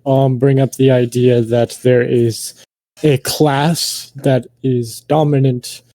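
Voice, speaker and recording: A man making a measured, academic argument in an online voice-chat debate, with pauses and ums. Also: mid-range voice, flat delivery, dark tone, dry recording.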